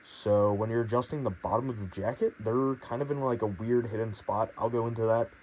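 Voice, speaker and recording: A young man with a hoarse voice from a cold, casually reviewing a jacket in a rambling, hedging way with lots of fillers. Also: lowish voice, fairly even intonation, brisk, a little mellow, muffled recording, a little hiss or hum. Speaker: gear reviewer.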